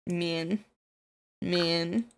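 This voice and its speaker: A woman's voice: lowish voice, steady tone, very slow, slight background noise. A woman with a New Zealand accent, chatting casually and rambling on a live stream while she builds models, self-deprecating and swearing now and then.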